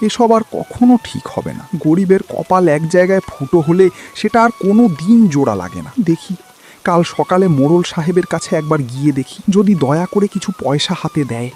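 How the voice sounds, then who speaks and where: A man playing a weary, gentle village father in a cartoon story, lamenting his poverty in a plaintive way. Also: high voice, big pitch swings, fairly quick, faint music behind. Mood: sorrowful, resigned. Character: weary, gentle, self-pitying poor village labourer father. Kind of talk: dramatized cartoon story acting.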